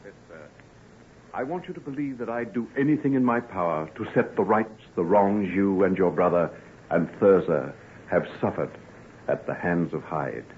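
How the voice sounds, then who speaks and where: An actor playing a grave, remorseful gentleman doctor, formal and earnest, in an old-time radio drama. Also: low-pitched, expressive, normal speed. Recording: tinny, noisy old radio recording.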